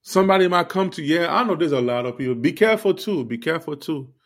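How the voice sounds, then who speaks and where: A man's voice: on the high side, expressive, fast. A man born in Haiti hosting a faith podcast, talking straight to his listeners in an earnest, preachy way with many fillers. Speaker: podcast host. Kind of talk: casual faith podcast monologue.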